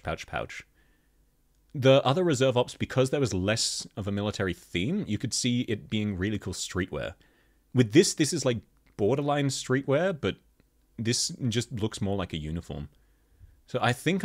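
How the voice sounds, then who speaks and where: A man with a thick Australian accent, chatting casually as he reviews game outfits and laughs often. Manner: chatty, laughs often, lively pitch jumps. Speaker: gaming video host. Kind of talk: casual commentary.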